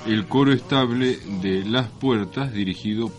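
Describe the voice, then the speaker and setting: A man's voice: medium pitch, measured pace, faint music behind. A man with an Argentine accent co-hosting a casual radio show, speaking in a hesitant, tongue-in-cheek way. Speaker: radio host. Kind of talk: casual co-hosted radio talk. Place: radio studio.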